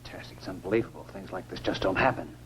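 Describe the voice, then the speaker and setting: A man acting as a stern skeptic in a fifties science fiction movie. His voice is very low and he talks quickly, over the faint noisy hum of an old soundtrack. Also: natural voice. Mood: disbelieving. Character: stern, skeptical man questioning a crash survivor.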